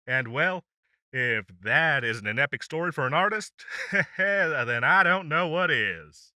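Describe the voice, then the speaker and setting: A man's voice: on the high side, expressive, slow, pushed and bright, no echo, studio quality, exaggerated voice. A man playing an upbeat demon radio host, chatting through a chart countdown in showy, teasing announcer patter for an audio roleplay. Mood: chatty, showy.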